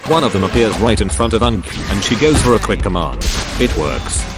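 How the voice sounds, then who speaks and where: A man's voice: lowish voice, expressive, fairly quick, music in the background. A man giving play-by-play commentary on a game battle. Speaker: match commentator.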